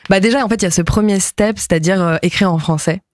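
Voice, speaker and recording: A man with a fairly high voice whose first language is Japanese, raised near Nantes, talks about his music as a guest. He gropes for words, stopping and restarting with lots of fillers. The sound is dry and close, with no room echo. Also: quick pace. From France, near Nantes. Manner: hesitant, many fillers and restarts. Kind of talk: casual interview talk.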